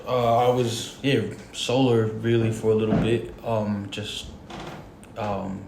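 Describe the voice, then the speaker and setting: A man, a rapper talking in a relaxed, slangy podcast chat. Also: on the low side, takes it slowly, gravelly, background noise. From Australia. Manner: laid-back, slangy, agrees often. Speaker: rapper and producer, podcast guest. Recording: roomy studio echo.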